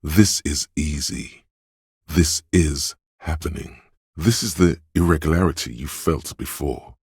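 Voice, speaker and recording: A man voicing a cool, sly, goading inner voice in a video game, narrating in the second person with deliberate, savored words. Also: a little mellow, dry recording, studio quality, exaggerated voice. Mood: coaxing, calm. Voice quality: very deep, gravelly, husky.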